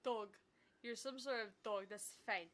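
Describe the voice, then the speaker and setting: A woman's voice: on the high side, measured pace, fairly bright tone. A woman streamer reading a visual novel aloud and chatting casually between the lines. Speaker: gaming streamer. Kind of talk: visual novel read-along with casual banter.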